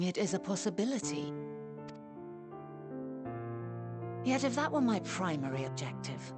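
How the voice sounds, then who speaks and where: A woman playing a thoughtful, resolute figure of an ancient people in a video game cutscene, speaking formally and deliberately. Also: on the low side. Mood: measured, analytical. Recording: loud music under the voice.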